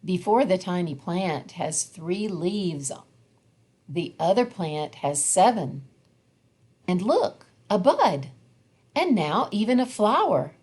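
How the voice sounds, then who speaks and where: A woman reading a children's picture book aloud in an expressive, deliberate storytelling manner. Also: mid-range voice, breathy edge. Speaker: storybook reader.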